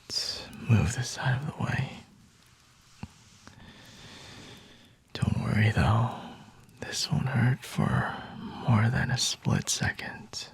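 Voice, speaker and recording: Man playing a husky whispering flirty male vampire. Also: medium pitch, lively intonation, no echo, exaggerated voice. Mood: menacing, teasing. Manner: slow, intimate, teasing. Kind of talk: whispered ASMR roleplay.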